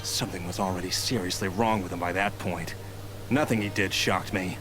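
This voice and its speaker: An actor playing a bitter, entitled grown son scheming for money in a video game, with a sneering, resentful manner. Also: male voice, low voice, fairly even intonation, fairly quick, lightly acted. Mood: grudging recollection. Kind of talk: English video game voice acting. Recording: music clearly behind.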